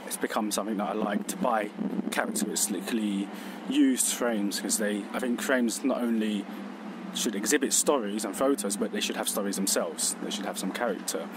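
A man speaking reflectively outdoors, pausing and correcting himself as he talks. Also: lowish voice. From Vietnam. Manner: thoughtful, pausing, self-correcting. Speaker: exhibition contributor. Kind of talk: reflective personal testimony. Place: outdoors on a city street. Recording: noisy street with traffic.

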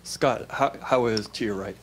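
A man's voice: fairly low pitch, normal speed. A man, a sports reporter asking questions at a press conference. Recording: noisy press room.

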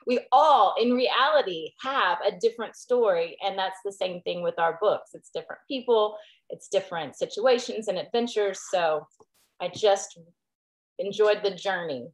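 A woman author talking in a relaxed, halting way in a casual panel over a video call from home. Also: medium pitch, low-quality recording. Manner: relaxed, halting, many fillers. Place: home room.

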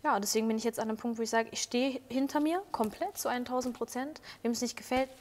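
A young woman in her twenties talks quickly in a fairly high voice, candid and slangy, with plenty of fillers. She is a singer being interviewed on a sofa set, on a clip-on mic. A long reverb trails her words. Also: fairly even intonation, noisy background. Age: 20s. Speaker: singer, guest. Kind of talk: casual interview chat. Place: indoor lounge set with sofa.

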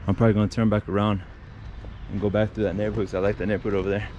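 A man's voice: lowish voice, level tone, very fast, a little mellow, lo-fi sound. A man in his thirties casually chatting on a walking tour, easily impressed as he films himself outdoors with a handheld camera. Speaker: vlogger. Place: outdoors on city streets. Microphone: action camera held at arm's length. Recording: outdoor street noise, passing cars.

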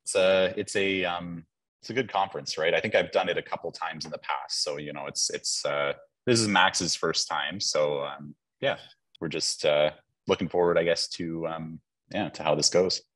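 Young man, low voice, husky, dry sound, casual video call chat, lots of fillers. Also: normal speed, no echo, poor audio. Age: young adult. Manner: relaxed, chatty, many fillers and laughs. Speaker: conference speaker. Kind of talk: casual pre-webinar chat. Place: front of a university lecture hall.

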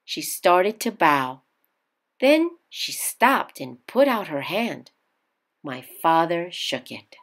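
A woman reads a picture book aloud for children at an unhurried pace. Her voice sits a little low but swings widely in pitch, with expressive, careful storytelling.

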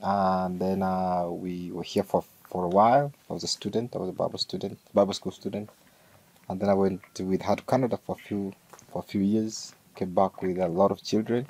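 A man's voice: low-pitched, fairly even intonation, fairly quick, a little mellow, husky, rough recording. A man from Kenya with a Kenyan accent, talking in a laid-back, chuckling, hesitant way as an interview guest. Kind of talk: casual conversation.

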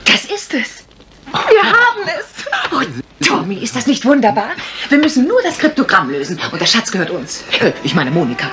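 An actress playing an eager, clever young English wife in a German radio crime drama, speaking in an animated, bantering, exclamatory way. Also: female voice, fairly high pitch, moderate pace. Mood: excited. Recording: noisy vintage radio play recording.

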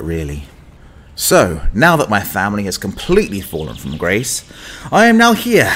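A man's voice: on the low side, a bit bright, slight background noise. A man playing a sardonic young fallen-noble adventurer, telling his story in wry, dramatic audio drama narration.

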